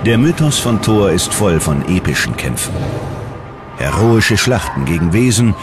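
Deep-voiced male documentary narrator. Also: very animated, measured pace. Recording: loud music under the voice. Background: loud background music.

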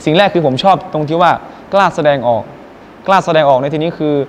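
Earnest young man, quick polite speech. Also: fairly high pitch, slight background noise. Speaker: guest singer and workshop mentor. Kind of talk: presenter-style address to camera. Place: outdoors by a school building, then indoors at a music school.

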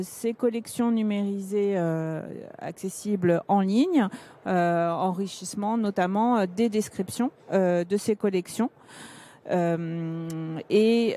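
A middle-aged woman moderating a conference panel into a handheld mic, speaking haltingly with many fillers. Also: medium pitch, dark tone. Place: large conference stage indoors.

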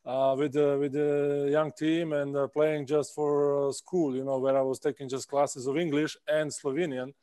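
A man in his thirties with a Czech accent talks haltingly, stopping and restarting his sentences. His voice is smooth and clean, recorded close and dry on a laptop mic at home. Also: fairly high pitch, flat delivery, dark tone, no echo. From Czech Republic. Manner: halting, many "uh" pauses and restarts. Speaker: guest basketball player. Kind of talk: casual interview answers. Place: indoor room at home. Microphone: video call laptop mic.

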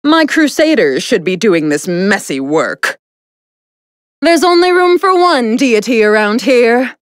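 A vain young goddess from a video game, high-pitched and very slow, savoring her condescension. Her pompous, theatrical delivery swings widely in pitch, imperious yet playful. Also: big pitch swings, no echo, clean studio sound. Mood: haughty, smug. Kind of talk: video game character voice lines.

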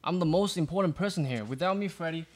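A man's voice: fairly high pitch, fast, close to an everyday voice. A young man playing a cheeky, smug student sound guy in a comedic radio play. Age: young adult. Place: small studio room, seated at a table with stage mic.

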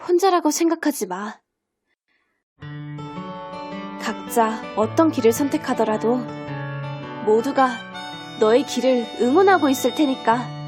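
A woman's voice: high voice, animated, moderate pace, over music. A woman playing a determined, caring teenage girl idol trainee in an audio drama, earnest and heartfelt. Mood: encouraging. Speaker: amateur voice actor. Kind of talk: Korean audio drama acting.

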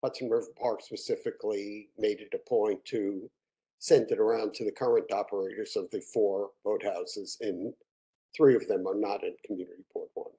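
Man explaining a resolution, mellow voice. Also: on the low side, steady tone, rough recording. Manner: measured, explanatory, with frequent fillers. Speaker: committee chair presenting a resolution. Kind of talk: video-call board meeting. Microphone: video call.

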